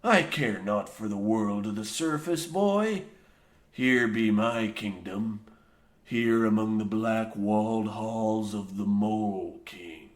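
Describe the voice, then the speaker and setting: Slow, crazed old mine foreman. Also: fairly low pitch. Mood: fanatical fervor. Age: older. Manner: theatrical, archaic pirate-like rustic speech, preachy. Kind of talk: parody of old radio horror acting. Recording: roomy echo, faint noise.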